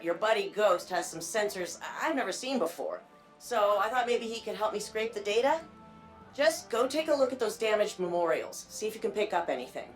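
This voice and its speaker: An actress playing a busy, matter-of-fact sci-fi tech worker in informal, blunt game dialogue. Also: female voice, medium pitch, lightly acted.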